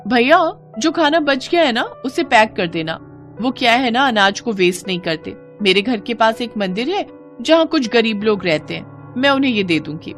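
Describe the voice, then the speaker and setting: Woman voicing a gluttonous, shameless lady schoolteacher, very animated. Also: on the high side, normal speed, faint music behind. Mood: wheedling. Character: gluttonous, shameless, self-pleased female schoolteacher. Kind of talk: animated moral story character voice.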